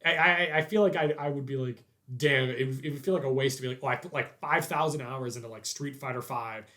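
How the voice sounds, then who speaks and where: Casual male podcast host, medium pitch. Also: fairly quick, bright, forceful tone. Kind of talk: casual chat.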